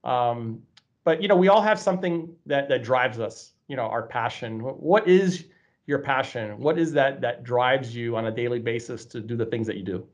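A man's voice: medium pitch, normal speed. A man hosting an interview show, a campus president, friendly and loose with fillers and repeated words. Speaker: host, college campus president. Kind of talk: hosted interview. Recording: slightly dull lo-fi sound.

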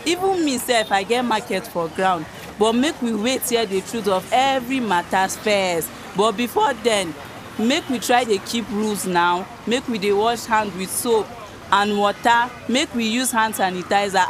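A mid-pitched Nigerian woman, played by an actress, speaking Pidgin as the calm voice of reason. She is measured and sensible, with clear street noise around her. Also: natural voice. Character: sensible, calming voice of reason in community. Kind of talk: radio drama acting in Nigerian Pidgin.

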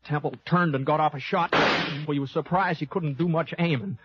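An American actor playing a laconic, folksy gunfighter who narrates a 1950s radio western in a halting, drawling way. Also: male voice, a little high, noisy background, lightly acted. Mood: excited, urgent. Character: laconic, folksy, fair-minded Old West gunfighter narrating his tale. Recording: dull lo-fi vintage 1950s radio recording.

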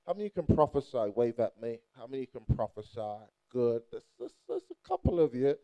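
A man's voice: medium pitch, big pitch swings, brisk, lo-fi sound. A young adult man from Nigeria preaching a playful, charismatic sermon and rousing the crowd with call-and-response.

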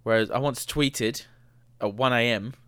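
A man of middle pitch banters playfully as a podcast co-host, teasing his partner and laughing easily. His voice sounds dry and close to the mic. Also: unhurried, fairly bright tone, clear, resonant tone, no room sound. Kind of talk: casual chat.

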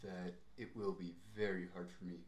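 A young man talks in a measured, reflective way, pausing to weigh his words. His voice sits fairly low, and the recording carries a noticeable room echo. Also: flat delivery. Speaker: podcast co-host. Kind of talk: casual chat. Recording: roomy echo.

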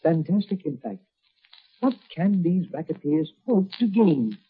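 Brief: a kindly, raspy mentor doctor, expressive lilt, phone-thin old audio. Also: male voice, sits high, measured pace, exaggerated voice. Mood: thoughtful. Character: kindly older male scientist and mentor, calm. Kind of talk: 1940s radio acting. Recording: thin tinny old radio transcription.

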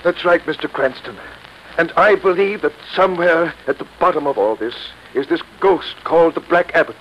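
Guy acting a serious church rector, measured and firm, old radio play, bit of noise. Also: on the high side, natural voice. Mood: grave explaining. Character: earnest, authoritative male church rector, calming and practical. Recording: muffled, faintly noisy 1930s radio recording.

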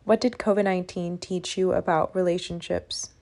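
A woman host reading scripted narration with an even, read-out delivery and asking interview questions. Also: lowish voice, normal speed, no room sound.

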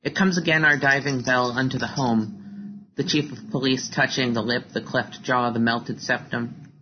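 A poet gives a literary reading in a flat, even intonation. The recording is a little dull, with faint noise behind the voice. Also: medium pitch, moderate pace. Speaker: poet reading own work.

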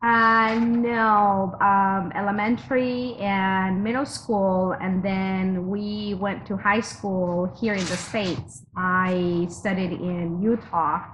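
Mexico-born woman, noisy interview recording. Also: mid-range voice. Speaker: teacher, interview guest. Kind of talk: casual interview. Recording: noisy background hiss.

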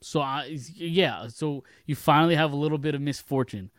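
A man's voice: on the high side, normal speed, fairly bright tone, dry recording, clean studio sound. A young American man in casual sports talk as a podcast co-host, blunt and loose in the way he speaks.

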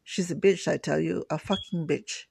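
A man voicing a blunt, deadpan male lab worker from Queens who gripes in a flat tone. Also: on the high side, normal speed, gravelly, husky, no room sound, exaggerated voice. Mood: griping. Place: home kitchen table. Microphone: laptop setup.

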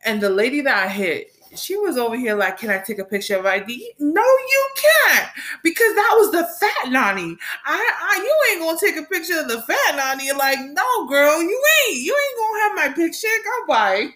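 An American woman in her late twenties, a mother and podcast host, talking through her week in a rambling, animated solo chat and laughing often. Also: sits high, moderate pace. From United States. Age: late 20s. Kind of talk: casual solo monologue.

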